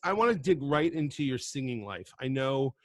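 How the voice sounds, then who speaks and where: A man's voice: medium pitch, sing-song, normal speed. A middle-aged man hosting an interview show from home at a podcast microphone, jokey and self-deprecating. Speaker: host. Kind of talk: casual interview chat.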